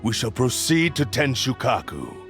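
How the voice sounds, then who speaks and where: A man's voice: mid-range voice, very animated, normal speed. A man voicing a proud, obsessive spirit of a cursed blade in a video game, speaking formally with haughty menace. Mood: haughty resolve. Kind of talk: dramatic video game voice acting. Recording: music playing behind. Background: game background music.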